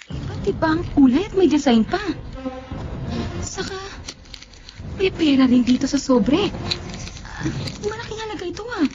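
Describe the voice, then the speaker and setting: A woman's voice: very high voice, lively intonation, moderate pace, pauses often. An actress playing a curious, suspicious woman in a radio drama, hesitantly talking to herself. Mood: puzzled, suspicious. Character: curious, suspicious woman snooping, thinking aloud. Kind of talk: Tagalog radio drama monologue. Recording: dull lo-fi audio, clear noise.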